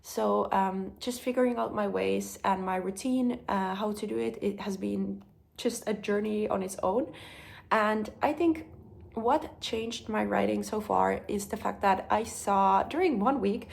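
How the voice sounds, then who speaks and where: A woman's voice: medium pitch, unhurried, vocal fry. A young woman with a Finnish accent, speaking English as a second language, talking candidly and thoughtfully in a personal vlog, with frequent ums. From Finland. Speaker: vlogger. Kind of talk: reflective personal vlog talk.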